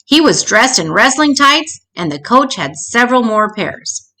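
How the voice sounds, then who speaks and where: Lady reading a kids book out loud, bright clear-cut voice, expressive storytime narration. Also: a little slow. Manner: acts out dialogue with changing pitch. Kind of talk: expressive read-aloud narration for children.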